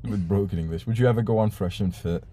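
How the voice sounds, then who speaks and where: A man's voice: lowish voice, fast talker, mellow, a little hiss or hum. A young man whose first language is Urdu, hosting a casual live stream and reading out donation messages in a laid-back way, swearing casually.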